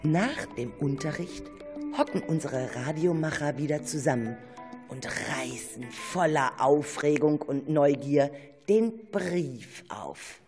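A narrator reading a children's radio play script in an expressive, suspenseful storytelling manner. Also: medium pitch, slow pace, music in the background.

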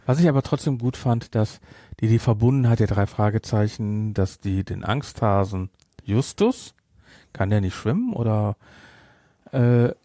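A man co-hosting a podcast in casual chat, retelling and reviewing in a halting way with repeated words. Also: on the low side, animated, mellow, dry recording, clean studio sound. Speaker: podcast host.

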